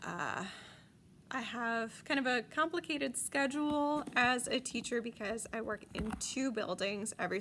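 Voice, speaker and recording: A woman's voice: on the high side, fairly bright tone, a little hiss or hum. A woman in her late twenties from the Chicago area, a French teacher giving parents a friendly, enthusiastic video message with frequent ums. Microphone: tablet device.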